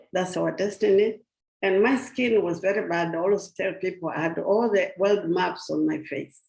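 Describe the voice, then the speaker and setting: An older woman from Tanzania tells her story slowly and earnestly, her voice low-pitched and gravelly. The sound comes through a video call with a roomy echo. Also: a little mellow. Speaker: guest speaker. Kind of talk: personal testimony talk.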